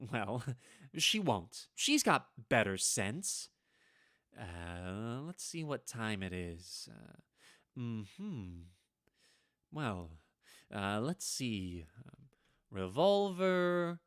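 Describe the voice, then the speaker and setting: A man's voice: mid-range voice, fairly bright tone, clean tone, dry recording, studio quality, exaggerated voice. A man playing a frantic, haunted husband who mutters and stammers to himself in a vintage radio horror drama. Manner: muttering and stammering, theatrically agitated.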